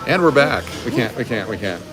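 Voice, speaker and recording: A man's voice: medium pitch, animated, fairly quick. A middle-aged man hosting a casual, bantering live stream from a restaurant table into a handheld phone. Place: restaurant dining room, indoors. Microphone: phone at arm's length. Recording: music playing in the room. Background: music.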